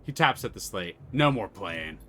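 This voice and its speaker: A man's voice: on the low side, sing-song, normal speed, fairly bright tone, slight background noise. A man streaming a story game, reading its text aloud and chatting casually, swearing freely.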